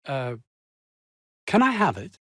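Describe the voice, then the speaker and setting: An actor playing a pompous, ambitious, anxious research scientist in a video game, speaking with haughty, fussy, old-fashioned formal diction. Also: male voice, medium pitch, wildly swooping pitch, takes it slowly, bright, forceful tone, slightly rough, no echo, clean studio sound, heavily acted. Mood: anxious, pleading. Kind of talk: theatrical game dialogue.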